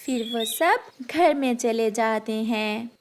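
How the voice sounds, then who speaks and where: Woman narrator, slow pace, scripted storytelling, children's cartoon, faint background noise. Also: a little high.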